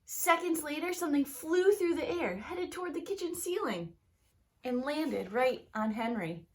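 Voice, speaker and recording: A woman reading a children's storybook aloud like a teacher, with expressive, emphatic phrasing aimed at young listeners. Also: sits high, moderate pace, slight background noise. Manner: talks directly to young listeners.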